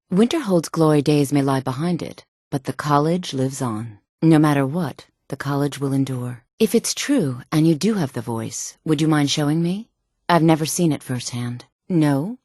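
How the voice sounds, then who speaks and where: A proud senior sorceress who guards a mages' college in a fantasy game. Her voice sits low and sultry. She speaks formally and with measured, lofty composure, in a very dry recording. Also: darkish tone, no room sound. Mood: formal, guarded. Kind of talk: fantasy video game voice acting.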